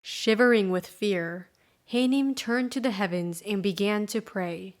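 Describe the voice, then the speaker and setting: A woman's voice: medium pitch, a little slow. A woman with a mid-range voice tells a children's folktale. She delivers it as scripted storybook narration.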